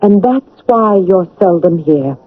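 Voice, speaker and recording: An actress playing an earnest, wounded young governess in a classic radio drama, speaking formally and with dignified emotion. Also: female voice, on the low side, expressive, takes it slowly. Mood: hurt, questioning. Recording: narrow, tinny old radio broadcast.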